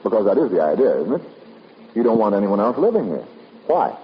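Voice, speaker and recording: A brash, skeptical private investigator cracks wise in an old radio play. His fairly low voice moves around a lot. The vintage recording is echoey, with faint noise. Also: very animated, brisk, highs cut off. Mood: curious, joking. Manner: wisecracking, informal. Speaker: actor in a radio drama. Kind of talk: 1950s radio drama acting.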